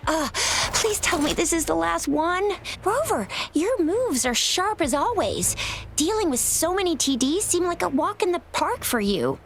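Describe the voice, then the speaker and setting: A woman's voice: very high voice, very animated, a little slow, a little hiss or hum, full character voice. A woman voicing a sweet, playful young girl fighter in a fantasy video game. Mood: weary, admiring. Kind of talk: video game cutscene acting. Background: game soundtrack music.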